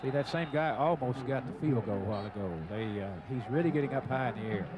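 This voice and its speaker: A man's voice: mid-range voice, fast. Conversational man commentating over stadium noise. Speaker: radio color commentator, addressed as coach. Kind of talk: unscripted football color commentary. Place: stadium broadcast booth. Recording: noisy stadium radio broadcast tape. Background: outdoor stadium noise.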